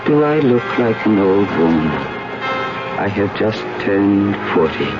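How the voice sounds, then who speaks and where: An actress playing an anguished, worn French woman doing penance, solemn and halting, in a 1970s radio drama. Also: rumbling low, big pitch swings, exaggerated voice. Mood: weary, resolute. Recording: dull 1970s radio recording with music.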